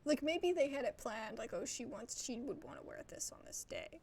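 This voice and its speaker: High, creaky-voiced woman streamer. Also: expressive, normal speed, a little mellow, slight background noise. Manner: chatty, informal, thinking aloud. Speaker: game streamer. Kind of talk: casual let's-play commentary.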